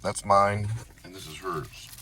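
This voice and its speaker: A man's voice: low-pitched, steady tone, unhurried, noisy background. A man from Texas chatting casually as a vlogger, musing in a dry, wandering way. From Texas, United States. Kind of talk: casual rambling vlog chat.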